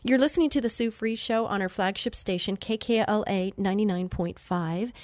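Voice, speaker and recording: A woman's voice: medium pitch, a little fast, darkish tone, dry recording. Nasal-sounding woman hosting faith radio. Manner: chatty, earnest, with many fillers and restarts. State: nasal from allergies. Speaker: radio show host.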